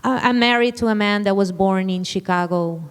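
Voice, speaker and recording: A woman's voice: mid-range voice, moderate pace, clean tone, in a large hall. A woman speaking earnestly on a panel, stressing her points and restarting phrases. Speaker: panelist author. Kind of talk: panel discussion. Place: lecture hall.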